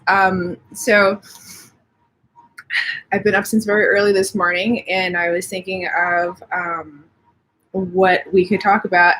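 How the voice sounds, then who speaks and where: Sniffly, husky woman hosting a live stream. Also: lowish voice, fairly bright tone, low-quality recording. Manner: chatty, reads and answers viewer comments. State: sniffly from allergies. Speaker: livestream host. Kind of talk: casual live stream chat. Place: home.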